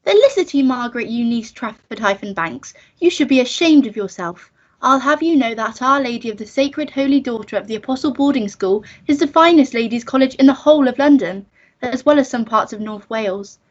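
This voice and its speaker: A prim upper-class English schoolgirl voiced by a woman in a comic radio melodrama. She speaks in a high register, fussy and gushing, ready to scold or correct. The recording is somewhat dull. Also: expressive, brisk.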